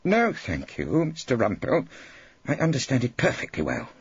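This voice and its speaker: A man voicing a slow, bewildered and pompous old English judge in an audiobook. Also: mid-range voice, wildly swooping pitch, breathy edge, dull audio, voice pushed up, full character voice. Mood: huffy.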